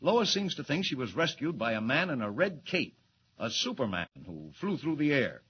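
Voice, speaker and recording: An actor playing a brisk, authoritative newspaper editor in a 1940s radio drama. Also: male voice, medium pitch, sing-song, husky. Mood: probing, brisk. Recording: muffled noisy 1940s radio recording.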